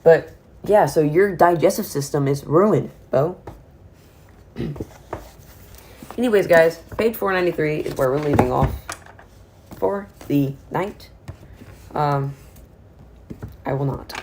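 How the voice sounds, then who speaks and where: A hoarse teenage boy chatting casually at the end of a livestream, rambling and restarting his phrases. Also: a little high, slow, pauses often, a little mellow, slight background noise. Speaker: streamer.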